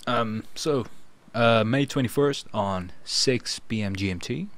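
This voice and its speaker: A man's voice: mid-range voice, slow, fairly bright tone, no echo. A man from the Netherlands with a Dutch accent, co-hosting a podcast in casual banter over a voice call. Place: remote voice call.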